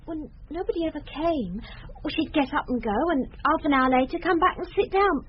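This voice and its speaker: A woman's voice: sits high, expressive, a little fast. An actress with a British accent playing an outspoken, earnest young servant girl in a radio drama. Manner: forthright, chatty, unpolished. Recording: dull, hissy radio drama recording.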